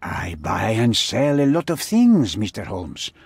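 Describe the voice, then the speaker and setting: A middle-aged male crime boss in a game drama, haughty and menacing. His voice is husky and moves widely in pitch, formal and imperious. It sounds close and dry. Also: huge pitch swings, measured pace, dry recording, exaggerated voice. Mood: irritated, dismissive. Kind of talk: dramatic video game acting.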